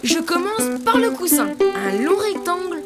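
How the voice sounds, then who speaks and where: Young cartoon hero: high voice, kind, encouraging drawing guide, music loud underneath. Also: a little slow, full character voice. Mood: instructive. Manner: calm, instructive, encouraging. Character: kind, helpful young cartoon friend who draws. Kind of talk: children's cartoon dialogue and drawing tutorial.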